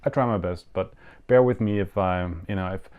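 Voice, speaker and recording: A man's voice: low-pitched, a little fast, darkish tone, clear, resonant tone. A young man whose first language is German hosts a live show in casual, hesitant talk with many fillers and restarts. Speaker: show host. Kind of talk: casual live-stream hosting.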